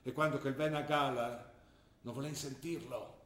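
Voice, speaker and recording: A man's voice: medium pitch, fairly even intonation, normal speed, a bit bright, lightly acted. An older man playing an ill, worried father who lectures and pleads with his daughter, in an earnest manner. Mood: lecturing. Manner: earnest, lecturing, rising to anguished pleas. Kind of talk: stage drama acting. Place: theatre stage. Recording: roomy stage recording.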